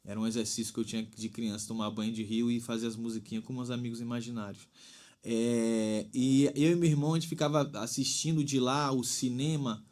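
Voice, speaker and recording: Convidado baiano, cantor, tom médio, divaga com calma e muito "né?". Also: male voice, mid-range voice, brisk. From Bahia, Brazil. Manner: thoughtful, rambling with frequent "né?". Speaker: singer-songwriter guest. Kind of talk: reflective interview talk.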